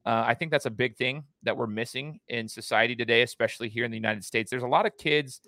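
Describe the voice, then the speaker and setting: A man's voice: medium pitch, quick pace, pushed and bright, dry recording, clean studio sound. A man hosting a podcast and coaching basketball, asking long, rambling questions in a casual chatty way.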